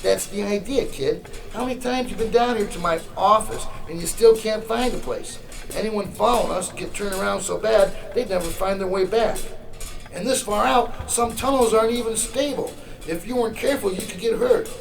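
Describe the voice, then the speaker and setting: An actor playing a gruff, sardonic rebel mentor with folksy, brusque speech in a radio drama. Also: male voice, sits high, moderate pace, soft background music, poor audio. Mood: wry warning. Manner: folksy slangy, wry, brusque.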